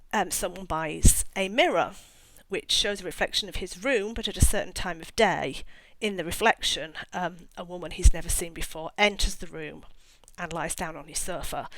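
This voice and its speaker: A woman's voice: lowish voice, no echo, poor audio. A middle-aged woman talking casually as an author about her own work, in a chatty, rambling way with self-mocking asides. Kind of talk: casual talk to camera.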